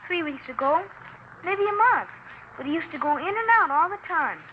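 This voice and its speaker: A woman's voice: high-pitched, animated, normal speed. A woman playing an earnest, streetwise seven-year-old American boy, plain-spoken and insistent, in a 1950s radio drama. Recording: muffled, hissy 1950s radio recording.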